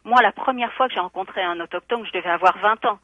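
A woman's voice: mid-range voice, quick pace, no echo. A woman speaking by phone in a radio interview as a school board candidate, earnest and thoughtful with many hesitant fillers. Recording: tinny phone line.

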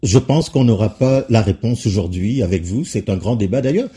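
Man, radio host, very dark voice, husky, interview questions, unhurried. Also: fairly low pitch, animated. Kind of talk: radio talk show interview.

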